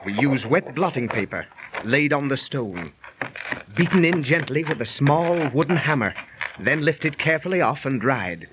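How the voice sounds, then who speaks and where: An actor playing a defiant British lord and archaeologist in a theatrical, declaiming 1930s radio style. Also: male voice, mid-range voice, animated, moderate pace, noisy background, natural voice. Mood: brisk, resolute. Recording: muffled 1930s radio recording.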